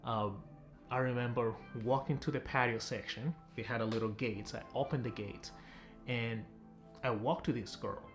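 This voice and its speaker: A man's voice: medium pitch, fairly even intonation, normal speed, soft background music. A young man from Tanzania, a relationship coach, telling viewers a personal story in a direct, chatty vlog style.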